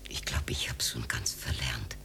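Radio play role: lonely widow, older, tender and teasing, creaky half-whisper. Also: female voice, extremely low pitch, steady tone, unhurried, bright, forceful tone, full character voice. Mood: confiding, melancholy. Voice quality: breathy, often half-whispered. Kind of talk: intimate radio drama acting. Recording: 1980s studio radio play.

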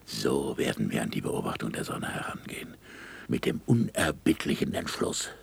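An actor in a German radio play, playing a stubborn, sardonic aging astronomer with a gravelly, husky voice and deliberate, emphatic delivery. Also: male voice, rumbling low, exaggerated voice. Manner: deliberate, emphatic speech-making.

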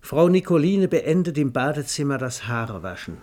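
A man's voice: mid-range voice, big pitch swings, normal speed, darkish tone, close to an everyday voice. A man playing a literary narrator for a radio drama. He is precise and dry, with a wry undertone, and speaks in a mid-range voice. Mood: measured, wry.

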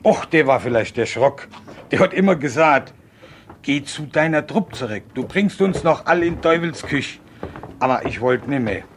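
A man's voice: a little high, expressive, moderate pace, close to an everyday voice. An actor playing an older village man, an earthy former deserter recounting his memories colloquially in a naturalistic radio drama. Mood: matter-of-fact recollection. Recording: noisy location-style radio recording.

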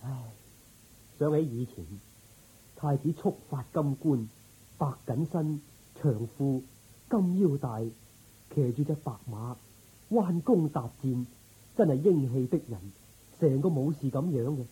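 An actor playing a dutiful, inquisitive military officer in a radio drama, with a musing way of talking. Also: male voice, mid-range voice, measured pace, long pauses, warm tone, husky, low-quality recording. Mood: wistful admiration. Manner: musing, questioning.

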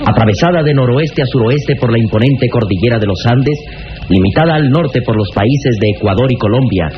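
Man, mid-pitched, grand florid narration, 1960s radio drama, lo-fi recording. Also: lively intonation, brisk, background noise. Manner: solemn, florid, declamatory. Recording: dull 1960s radio recording, loud music behind.

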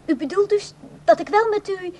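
A woman's voice: very high-pitched, moderate pace, darkish tone. An actress playing a timid, unworldly woman in a radio drama, hesitant and apologetic as she speaks. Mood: shy, hopeful. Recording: audibly noisy radio play recording.

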